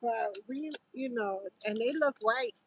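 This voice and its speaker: Slow-talking New York woman, higher-pitched voice. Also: sing-song, slight background noise, low-quality recording. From Harlem, New York City, United States. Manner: rambling, slangy, informal. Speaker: livestream host. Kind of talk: casual livestream chat.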